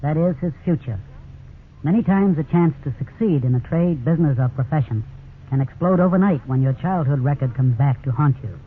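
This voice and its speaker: Law school dean guy reading formal advice, voice a bit high, tinny old radio. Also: lively intonation, a little fast. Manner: formal, advisory. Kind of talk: scripted radio commentary. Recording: tinny old radio broadcast, faint hiss.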